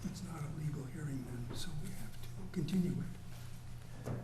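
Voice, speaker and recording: A man on a town commission talking through procedure in a halting, practical way. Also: a little high, noisy background. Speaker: commission member. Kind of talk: procedural discussion. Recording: echoey meeting room mic.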